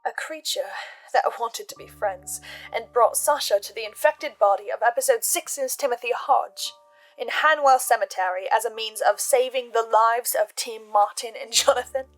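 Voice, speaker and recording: A woman hosting a recap show, laying out her notes and theories in a deliberate, measured way with dramatic pauses. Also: a little high, faint music behind, poor audio. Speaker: host of a podcast listener's reaction and theory show.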